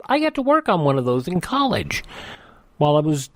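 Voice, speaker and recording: A man's voice: a little high, wildly swooping pitch, a little fast, fairly bright tone, no room sound. An older American man hosting radio, reading history items in a narrating style with chatty personal asides. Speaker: radio host. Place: radio studio.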